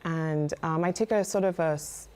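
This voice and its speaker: A woman's voice: low voice, moderate pace, mellow. A woman with a Trinidadian accent, a designer guest on a live morning TV show, talking earnestly with many fillers and restarts. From Trinidad and Tobago. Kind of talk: live TV interview.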